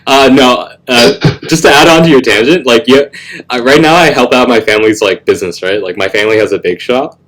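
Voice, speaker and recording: A young man hosting a casual chat, animated and laughing often, full of "bro" and "like", with a deep voice. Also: brisk, low-quality recording. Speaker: host.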